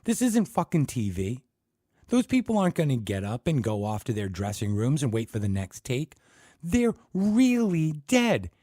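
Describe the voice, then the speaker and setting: A man's voice: sits low, brisk, hardly any pauses, no echo, clean studio sound. A man voicing a frantic furious teenage boy. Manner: shouting, swearing, panicky.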